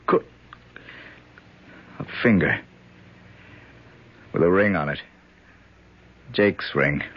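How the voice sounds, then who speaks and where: Tough senator's aide, low raspy voice, short serious lines, dull old radio play sound. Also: male voice, drawn-out words, heavily acted. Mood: tense, puzzled. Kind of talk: 1970s radio drama acting. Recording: dull 1970s radio recording.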